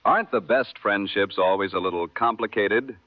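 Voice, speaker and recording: An actor playing a courteous, genial 1960s evening radio host, with a smooth announcer delivery and dry understatement. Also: male voice, fairly low pitch, moderate pace, no echo, lo-fi sound, close to an everyday voice. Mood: wryly amused. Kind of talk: 1960s radio broadcast acting.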